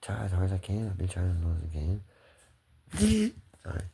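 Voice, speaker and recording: A man's voice: low voice, wildly swooping pitch, moderate pace, creaky, warm tone, husky. A man talking to the camera in a rambling, swearing monologue that jumps between topics.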